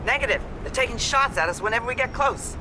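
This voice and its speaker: Brief: pressed patrol cop, high voice, radio call, heavy noise. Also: male voice, lively intonation, quick pace. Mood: urgent. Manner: urgent radio reports. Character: harried male patrol cop calling on the radio. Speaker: police officer.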